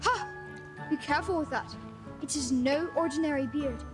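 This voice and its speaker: Brash, chatty street kid, voiced by a woman; high-pitched, with game score behind. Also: moderate pace, lightly acted. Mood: sly. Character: cheeky street-smart kid, talkative. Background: game music.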